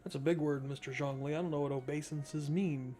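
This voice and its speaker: A man's voice: a little high, fairly even intonation, a little fast, warm tone, soft background music. Casual man commentating on a game. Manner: informal, thinking aloud. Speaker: gaming video host. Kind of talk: casual let's play commentary.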